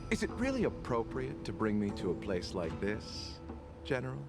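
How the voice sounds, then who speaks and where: A polite, composed merchant whose lowish voice swoops through a very wide melodic range. He keeps his tone courteous and faintly wry over game music. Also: wildly swooping pitch, moderate pace, vocal fry, heavily acted. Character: courteous, composed wandering merchant, quietly sly.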